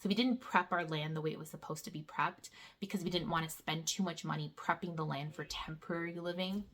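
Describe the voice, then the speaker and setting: A woman's voice: deep voice, steady tone, brisk, fairly bright tone. A woman in her thirties, a homeschool vlogger chatting casually to camera and calling her viewers "guys". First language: not Portuguese.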